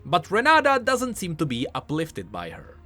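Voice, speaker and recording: Male game-lore commentator, higher-pitched and very lively intonation, scripted explainer delivery over music. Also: normal speed.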